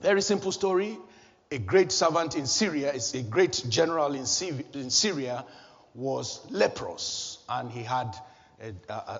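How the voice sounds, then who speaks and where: A man preaching a sermon, animated and chuckling at his own jokes, recorded in a church hall. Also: a little high, slightly rough. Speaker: pastor. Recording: dull echoey hall recording.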